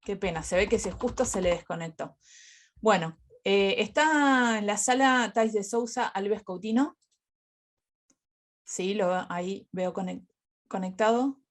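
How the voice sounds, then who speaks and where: A woman moderating an online academic conference, introducing speakers in a halting, hesitant way. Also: mid-range voice. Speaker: session coordinator and moderator.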